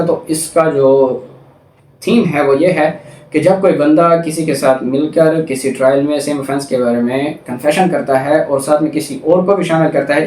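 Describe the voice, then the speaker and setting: A man's voice: a little high, darkish tone, slight background noise. A Pakistani man giving an explanatory lecture in a didactic manner, mixing in English technical terms. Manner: didactic, alternating between Urdu explanation and English legal terms. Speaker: law lecturer. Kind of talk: explanatory lecture on legal articles. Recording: echoey room, distant microphone.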